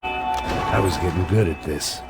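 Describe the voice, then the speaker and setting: An actor playing a jaded, world-weary middle-aged bodyguard with an American accent, deadpan and cynical. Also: male voice, low-pitched, a little slow, vocal fry. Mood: cynical, brooding. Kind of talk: noir game narration and tense dialogue. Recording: loud music under the voice.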